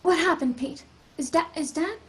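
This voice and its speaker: A woman's voice: very high voice, moderate pace. A woman playing an earnest older girl who leads stranded children, in a table read of a science fiction script. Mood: firm, pleading.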